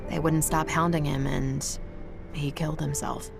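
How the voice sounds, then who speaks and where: A confident mech test pilot in a video game cutscene. Her voice is on the low side and noticeably creaky, casual and plain-spoken, with music in the background. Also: little pitch movement, a little slow. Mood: bitter, grave. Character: brash, loyal female ace test pilot of mechs.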